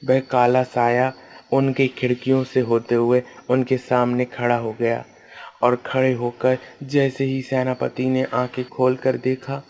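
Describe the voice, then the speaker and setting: A man's voice: medium pitch, monotone, a little slow, a little mellow, slight background noise. A man narrating a cartoon moral tale as a scripted storyteller.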